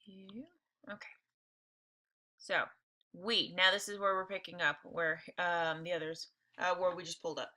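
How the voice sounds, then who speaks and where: Very bright-voiced woman reading aloud. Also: fairly low pitch, flat delivery, moderate pace, long pauses. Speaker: teacher reading along with listeners. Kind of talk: read-aloud of a novel with informal asides.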